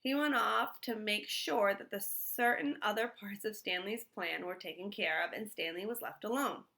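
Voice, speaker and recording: Woman doing an expressive kids story read-aloud, bright clear-cut voice, medium pitch. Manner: pauses to put questions to young listeners. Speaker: storyteller reading a children's book aloud.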